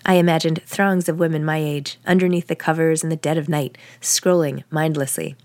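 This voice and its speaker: A woman's voice: low voice, steady tone, brisk, a little mellow, no echo. A woman reading first-person fiction aloud in a wry, conversational way, like an audiobook. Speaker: author reading own novel.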